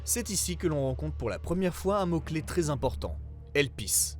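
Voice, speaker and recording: A man reading a scripted investigative report as narrator, in a formal, measured way. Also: on the high side, very animated, over music.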